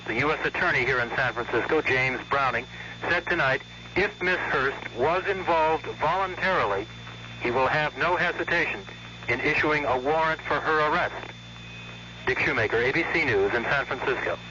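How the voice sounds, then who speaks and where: A man filing a news report as correspondent in a steady reporting tone. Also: mid-range voice, moderate pace. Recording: muffled old radio report with faint hiss.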